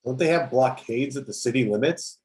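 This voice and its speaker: A man's voice: medium pitch, fairly quick, darkish tone, lightly acted. A man playing a cocky, argumentative superhero soldier with a needling, self-righteous manner in an amateur table read. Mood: blunt, questioning.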